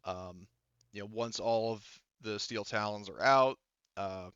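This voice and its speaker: A man running a tabletop game as game master, narrating casually in a halting, rambling way full of filler phrases. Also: lowish voice, fairly bright tone, clean tone, no echo, lo-fi sound. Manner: halting, rambling, frequent "like" and "you know"; casual swearing.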